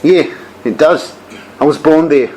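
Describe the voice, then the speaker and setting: A man performs a moody young Australian in a radio play. His voice sits a little high, and he talks bluntly in heavy slang with a bitter edge. Light noise sits behind him. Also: measured pace, darkish tone, natural voice, poor audio. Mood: guarded, prickly. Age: young adult.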